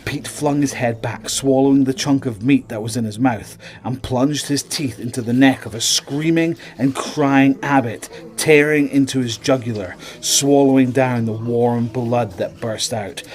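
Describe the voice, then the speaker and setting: A man's voice: medium pitch, a little slow, few pauses, a little mellow. A man giving dramatic, scripted narration as the storyteller of a horror audio drama. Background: dramatic music.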